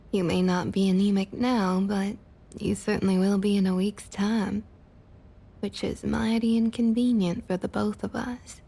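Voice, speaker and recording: Picture a woman voicing a female vampire of high rank from an ASMR roleplay, speaking in a slow Southern US drawl. Her voice is husky and breathy, often sinking into creak. She is patronizing and quietly domineering, with menace under the sweetness. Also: full character voice. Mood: quietly threatening. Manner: condescending, drawling pet names, slow and deliberate.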